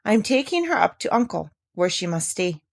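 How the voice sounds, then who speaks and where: A woman's voice: fairly low pitch, very animated, moderate pace, creaky, no room sound, studio quality. A woman voicing a self-interested, knowing young village woman in a storybook, firm and matter-of-fact. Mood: firm, defensive. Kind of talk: storybook character reading.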